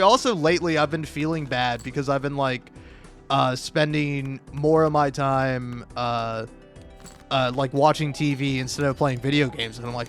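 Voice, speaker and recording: An American man with a slightly high voice chats off the cuff over gameplay. He hesitates, stammers and doubles back on his words in a self-deprecating way. Music plays behind him. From Florida, United States. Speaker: gaming video creator. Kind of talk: casual rambling chat over gameplay.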